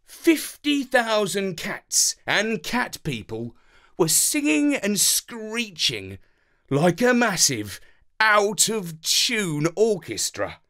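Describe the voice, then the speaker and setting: A man with a British accent narrating a children's story in a theatrical, deliberate, expressive way. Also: sits high.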